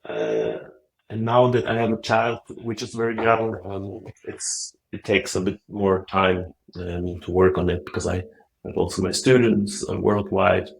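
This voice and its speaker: Give me a deep, gravelly middle-aged man from Moldova, soft-spoken and halting, on a video call. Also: poor audio. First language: Russian. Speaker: guest, Zen teacher. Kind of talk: relaxed interview talk. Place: dim wood-walled room.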